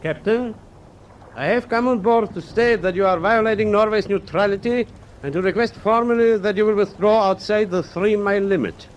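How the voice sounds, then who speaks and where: An actor playing a stiff, formal Norwegian naval officer in a radio drama, speaking with official insistence. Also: male voice, sits high, moderate pace, noisy background, natural voice. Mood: stiff, insistent.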